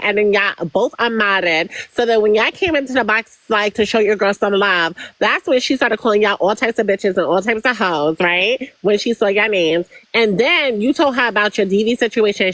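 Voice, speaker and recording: A woman's voice: mid-range voice, a bit bright, dry recording, low-quality recording. A woman hosting a live-stream interview, who often breaks in to steer the talk and recap what the guests said. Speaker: host.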